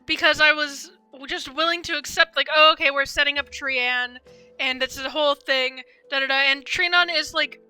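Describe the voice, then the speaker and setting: Very bright, high-voiced woman streamer. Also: moderate pace, faint music behind. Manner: rambling, animated fan reactions. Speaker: game streamer. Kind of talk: casual live stream commentary.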